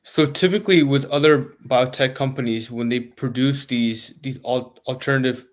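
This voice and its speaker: A man hosting an interview, asking loose, rambling questions with restarts and steady backchannel agreement. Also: medium pitch, normal speed. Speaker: podcast interviewer.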